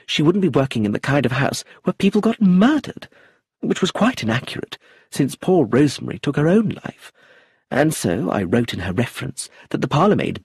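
A man's voice: mid-range voice, a little mellow, breathy edge, no room sound, studio quality, voice pushed up, exaggerated voice. A man voicing a fussy, gossipy older aunt who rambles in a prim, fluttery way.

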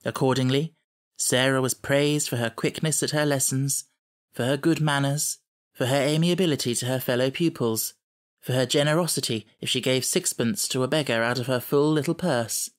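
A man's voice: mid-range voice, moderate pace. A man reading a children's novel aloud as scripted audiobook narration.

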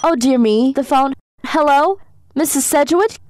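A woman playing an excitable, imperious society hostess and mother in a radio drama, exclaiming dramatically. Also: high voice, measured pace, background noise. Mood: excited, dramatic.

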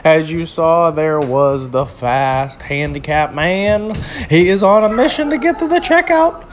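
A man's voice: on the high side, lively intonation, unhurried. Playful young man rambling in a voice-over. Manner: playful, rambling, mock-serious. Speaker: restroom review vlogger. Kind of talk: casual joking voice-over review. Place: home kitchen, voice-over.

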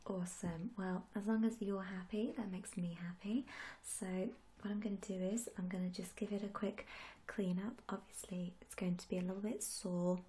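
A young woman speaking gently and softly in an ASMR roleplay, often slipping into a whisper. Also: mid-range voice, steady tone, moderate pace. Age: young adult. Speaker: ASMR creator playing a tattoo artist. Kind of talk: soft-spoken ASMR roleplay. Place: small room indoors.

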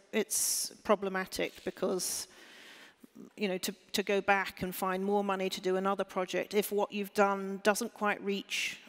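A woman speaking on a panel discussion, thinking aloud with restarts and checking she is understood. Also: medium pitch. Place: large hall on stage. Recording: hall reverb. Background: hall reverb.

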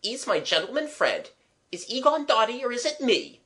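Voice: medium pitch, big pitch swings, moderate pace, bright, forceful tone, voice pushed up. A reader voicing an indignant working-class young woman, bossy and scolding, in a storybook. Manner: scolding, demanding.

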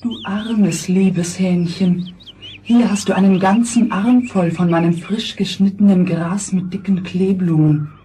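A woman voicing a kindly, generous meadow spirit in a children's audio fairy tale, breathy and tender. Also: medium pitch, steady tone, unhurried, warm tone, a little hiss or hum, close to an everyday voice. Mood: pitying, kind.

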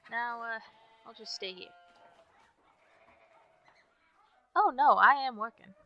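An easygoing young cowgirl ranch hand, played by a woman in an ASMR roleplay, showing a newcomer the ropes. Her voice is lowish-to-middling in pitch, bright and crackly with fry. She talks in plain folksy phrases, over a faint bed of farm animal ambience. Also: muffled recording. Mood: easygoing, teasing. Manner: folksy, drawling phrasing with ain't and gotta. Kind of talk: ASMR roleplay, one-sided chat.

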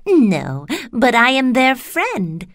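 Woman doing a slow, lilting good witch. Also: a little high, wildly swooping pitch, no echo, studio quality, voice pushed up, exaggerated voice. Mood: gentle. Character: kindly good witch, a little old woman.

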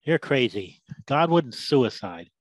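A man's voice: medium pitch, big pitch swings, takes it slowly, no echo, poor audio. A man performs an embittered old-soldier storyteller in a radio drama, well-oiled and loquacious. He delivers war memories like scripture, with a biblical roll to his phrases, in a dry, close sound. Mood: incredulous, agitated. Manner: loquacious, sermon-like oratory with biblical cadence. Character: embittered drunken war veteran Big Mike, preaching battlefield tales. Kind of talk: dramatised story, theatrical monologue.

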